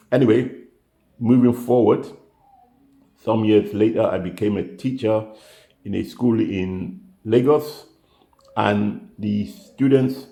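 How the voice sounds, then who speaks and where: A man's voice: lowish voice, warm tone, roomy sound. An older man, a retiree vlogger, chatting casually to camera, rambling and correcting himself as he goes. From United Kingdom.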